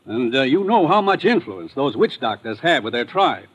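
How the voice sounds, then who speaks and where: An actor playing a grave, authoritative government commissioner giving urgent orders in a 1950s radio drama. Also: male voice, mid-range voice, sing-song, normal speed. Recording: muffled, fuzzy vintage radio recording.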